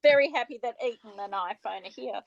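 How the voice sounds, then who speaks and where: A woman with a fairly high voice talks casually in a group video call. The sound is dry and close, free of echo. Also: brisk, gravelly, highs cut off.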